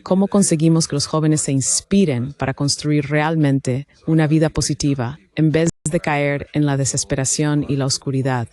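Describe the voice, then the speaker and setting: A man's voice: on the high side, fairly even intonation, normal speed, hardly any pauses, a little mellow. A middle-aged man putting an earnest question to a panel as an audience member.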